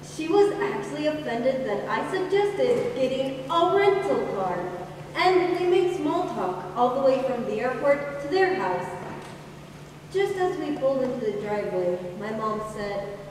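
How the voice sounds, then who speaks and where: A woman's voice: high-pitched, sing-song, measured pace, a little mellow. A young woman playing a grown daughter wistfully recalling her father in a stage monologue. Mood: wistful recollection. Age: teenager. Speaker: high school drama performer. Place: large indoor hall at a school play. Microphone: distant camera. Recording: echoey hall from far off, faint noise.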